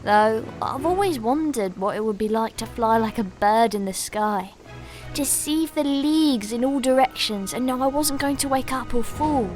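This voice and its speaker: A woman's voice: on the high side, moderate pace, darkish tone, soft background music, lightly acted. A woman playing a wistful, earnest traveler full of wonder in a fantasy story.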